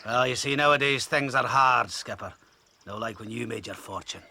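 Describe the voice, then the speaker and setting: A gravelly, low-voiced Scottish sailor. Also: male voice, normal speed, bright, forceful tone, slight background noise. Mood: wheedling. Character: rough, thirsty old Scottish sailor, sly and bitter. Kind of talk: audio drama dialogue.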